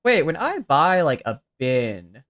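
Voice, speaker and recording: A man's voice: fairly high pitch, big pitch swings, slow pace, fairly bright tone, no room sound. A young man streaming a game, chatting casually and rambling as he thinks aloud, with a youthful-sounding voice. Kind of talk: casual gameplay commentary.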